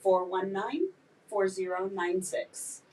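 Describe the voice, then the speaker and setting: A woman's voice: medium pitch, takes it slowly, a little mellow, far from the mic, rough recording. A woman who runs a credit repair business, talking earnestly as a podcast guest and laughing easily.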